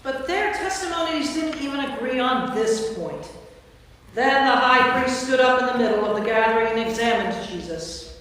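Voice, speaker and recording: A woman's voice: on the high side, lively intonation, moderate pace, fairly bright tone. Echoing woman narrating scripture. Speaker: narrator, priest. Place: church, recorded from a distance. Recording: very echoey church, distant mic, faint noise.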